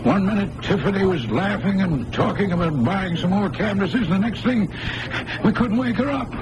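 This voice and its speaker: Actor as high-voiced, worried farm uncle. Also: male voice, normal speed, close to an everyday voice. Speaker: actor playing a patient's uncle. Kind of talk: 1980s radio drama acting. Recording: noisy old radio broadcast recording.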